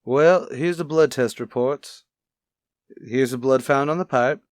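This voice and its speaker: Man acting as detective partner, calm, understated, sober, dry studio sound, radio crime drama. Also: unhurried, no echo, close to an everyday voice. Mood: low-key. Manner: low-key, subdued, matter-of-fact.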